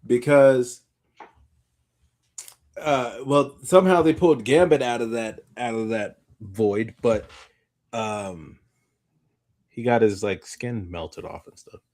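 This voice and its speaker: A man's voice: medium pitch, slow, long pauses, a little mellow. A man co-hosting a podcast in a casual, rambling chat, circling back on his own points. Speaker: podcast co-host.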